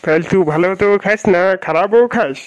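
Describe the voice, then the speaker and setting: A man's voice: high-pitched, fairly quick, lightly acted. A man playing a hapless lovesick young man in a comedy cartoon, whining and melodramatic. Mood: dejected. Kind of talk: Bangla comedy cartoon dubbing.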